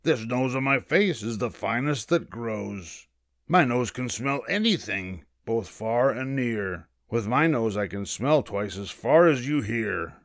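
A man voicing a big, scornful bear in a storybook, gruff and growling, with sneering retorts. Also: medium pitch, lively intonation, dry recording, studio quality. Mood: challenging. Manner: sneering, slow-spoken retorts.

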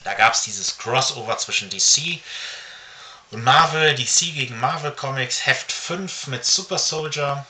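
A middle-aged man talking casually to camera as a comic collector, halting often with filled pauses, recorded in a room at home. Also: mid-range voice, sharp tone. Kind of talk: casual show-and-tell to camera. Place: small furnished room at home, indoors. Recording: faint background noise.

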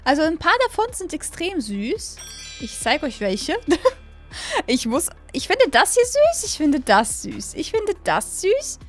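A young adult woman giving casual, excitable gameplay commentary as a host, repeating phrases and stumbling over words, close on a studio mic. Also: high voice, a little slow, soft background music. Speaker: gaming video host. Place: small room at a gaming setup, indoors. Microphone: close studio mic on boom arm.

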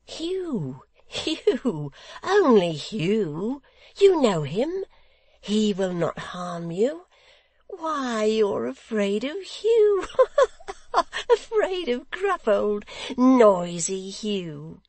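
A woman voicing an eager, simple-minded young man with childlike trust in an audiobook, in an excitable, wondering singsong. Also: fairly high pitch, very slow, husky, dry recording, pitched above the performer's own voice, full character voice. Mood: amused, reassuring. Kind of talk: audiobook character acting.

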